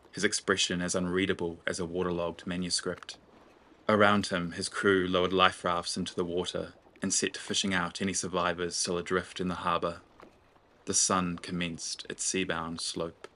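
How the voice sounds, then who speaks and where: A man narrating a scripted fantasy audio drama in a deliberate, literary storytelling manner. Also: low-pitched, pushed and bright.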